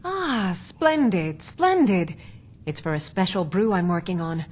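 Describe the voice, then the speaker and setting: An actress voicing a proud, opinionated middle-aged alchemist shopkeeper in a fantasy video game. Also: female voice, on the low side, big pitch swings, unhurried. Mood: brisk, chatty.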